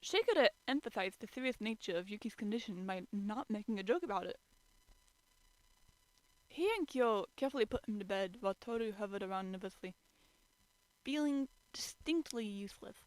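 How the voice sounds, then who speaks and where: A woman's voice: medium pitch, animated, normal speed, no room sound, rough recording. A woman giving an informal, amateur dramatic reading of a story as narrator. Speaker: amateur fan fiction reader.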